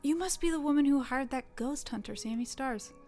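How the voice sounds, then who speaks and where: A woman's voice: high voice, a little fast, mellow, slight background noise, lightly acted. An actress playing a curious, skeptical young woman journalist who stammers when flustered and asks probing, wry questions. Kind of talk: audio drama table read.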